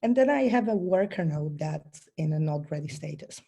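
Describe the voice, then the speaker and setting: Low mellow woman with a cold presenting. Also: expressive, normal speed, creaky, slightly rough. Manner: earnest, explains step by step with many ums. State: has a bad cold. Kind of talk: technical webinar presentation. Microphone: video call.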